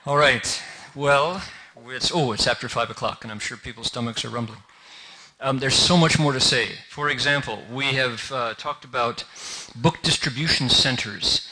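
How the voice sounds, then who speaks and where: A male moderator with a bright, forward voice at a middling pitch. He talks in an easygoing way, dropping light jokes as he moves the program along. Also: moderate pace, rough recording. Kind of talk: event moderation.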